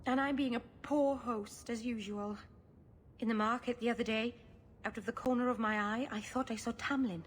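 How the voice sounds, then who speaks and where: Fairly high female voice, young elf acted by a woman, gentle, wistful, self-deprecating, game dialogue, faint noise. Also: steady tone, moderate pace, natural voice. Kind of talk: video game cutscene acting.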